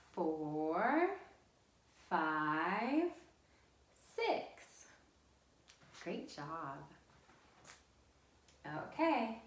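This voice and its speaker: In a roomy, echoing space, a woman leads storytime for small children. Her voice swings widely in pitch and takes its time, with a sing-song, encouraging delivery and simple wording aimed straight at the kids. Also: fairly bright tone. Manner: encouraging, simple direct address to young children. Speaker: children's librarian hosting storytime. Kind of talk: children's storytime with read-aloud, songs and rhymes. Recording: roomy, echoing indoor sound.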